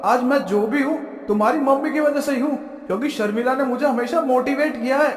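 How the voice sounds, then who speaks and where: A man's voice: very high-pitched, fairly quick. A man voicing a principled, wealthy middle-aged father in a cartoon story, speaking earnestly and moralizing. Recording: echoey room with long reverb.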